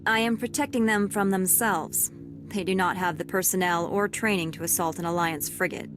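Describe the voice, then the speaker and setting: A woman's voice: lowish voice, steady tone, close to an everyday voice. Stern female security captain over music, an actress. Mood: dry, matter-of-fact. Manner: formal, measured, dry. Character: stern, fair-minded female security captain, weary ex-marine. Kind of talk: scripted video game acting.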